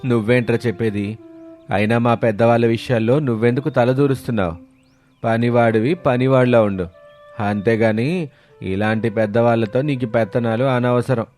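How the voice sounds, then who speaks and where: Haughty village visitor played by a man, medium pitch. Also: steady tone, moderate pace, a little mellow, close to an everyday voice. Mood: scornful. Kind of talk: animated moral story dialogue. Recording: music behind the voice.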